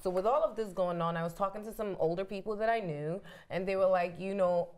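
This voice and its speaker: A woman's voice: mid-range voice, brisk, darkish tone. A woman from Ghana co-hosting a casual panel chat, telling a long story with frequent restarts. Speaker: co-host.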